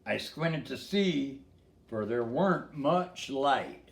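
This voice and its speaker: A man's voice: mid-range voice, expressive, takes it slowly, gravelly, reverberant. An older man reading a storybook in verse in a folksy way, with casual asides to a listener.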